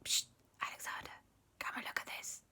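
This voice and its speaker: A woman voicing two curious boys who whisper excitedly while exploring the jungle. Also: brisk. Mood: hushed.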